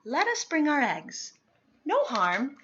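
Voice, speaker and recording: A woman voicing a brave, protective mother in a historical storybook, speaking in a calm, firm and deliberate way. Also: sits high, highs cut off, pitched above the performer's own voice, full character voice. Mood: calm, reassuring.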